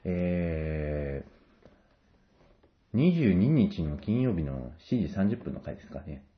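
A man's voice: low-pitched, big pitch swings, slow. A man in his late twenties chatting as a radio guest, joking with mock seriousness, on a lo-fi recording. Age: late 20s. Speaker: guest, stage actor. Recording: dull lo-fi audio.

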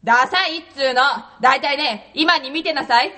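A woman's voice: high voice, sharp tone. A woman voicing a feisty young girl in an amateur radio drama, snapping back with sassy retorts. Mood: defiant. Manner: sassy, scolding, quick retorts.

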